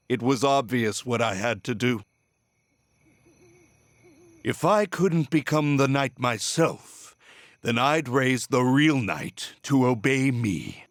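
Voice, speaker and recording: An embittered villain of a video game, a middle-aged false father consumed by obsession. He speaks slowly and theatrically, with big swings in pitch and a taunting edge, recorded close and dry. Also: no echo. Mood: smug, confessing. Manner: theatrical, taunting, drawn-out delivery. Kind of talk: dramatic video game voice acting.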